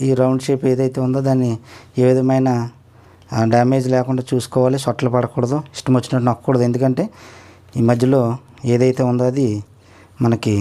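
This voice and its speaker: Guy doing a repair tutorial, talks fast, mellow low-toned voice, keeps saying look here. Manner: explains step by step, often asks the viewer to look.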